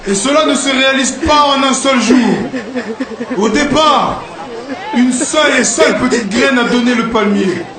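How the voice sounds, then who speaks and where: Un homme jouant dans un dessin animé un prophète solennel, meneur vers la liberté, qui exhorte son peuple en déclamant. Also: male voice, very high voice, measured pace. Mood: solemn, prophetic. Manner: declamatory, exhortative preaching with emphatic slogans. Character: solemn prophet and freedom leader addressing his people. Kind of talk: animated film oratory, prophetic speech. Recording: echoey, noisy sound with crowd behind.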